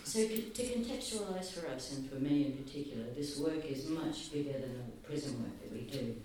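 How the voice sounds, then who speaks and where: A soft-spoken man giving an earnest, halting public talk, often pausing. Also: medium pitch, a little hiss or hum. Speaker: speaker at a gathering. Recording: very echoey, distant, faint ambience.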